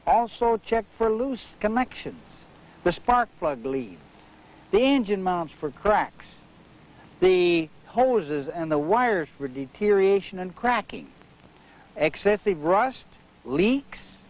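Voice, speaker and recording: A man giving methodical, measured instruction in the manner of a training film, as a flight safety instructor. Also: sits high, very animated. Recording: muffled noisy vintage training film soundtrack.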